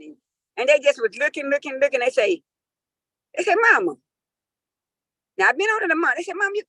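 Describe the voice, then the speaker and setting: A woman, telling an animated testimonial full of acted-out dialogue. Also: high voice, no echo. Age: 50s. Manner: lively, acts out quoted dialogue, emphatic. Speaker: caller sharing a testimonial.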